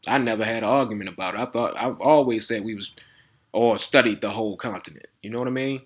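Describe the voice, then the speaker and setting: A man with giving a casual lecture to viewers. He reads text aloud haltingly, with restarts, and keeps asking "all right?". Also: lowish voice, husky. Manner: talks informally, often asking "all right?". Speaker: video host.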